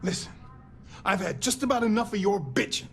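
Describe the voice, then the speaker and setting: A man's voice: sits high, lively intonation, brisk, slight background noise. An actor playing a stern, exasperated male police captain who gives blunt, profane orders. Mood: angry.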